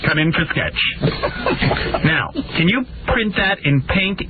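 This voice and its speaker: Man as self-important cheapskate writer in radio sketch, fussy formal haggling, animated, noisy telephone-thin sound. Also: on the high side, slow pace. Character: penny-pinching, self-important male TV writer placing an ad. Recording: tinny, noisy old radio broadcast.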